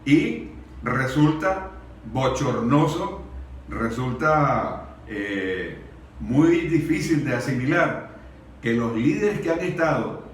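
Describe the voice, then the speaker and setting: Venezuelan male, very echoey distant recording, earnest public address, deliberate emphatic phrasing, mid-range pitch. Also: lively intonation. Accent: Venezuelan Spanish. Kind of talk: earnest speech at a public discussion. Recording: very echoey room, distant mic, faint noise.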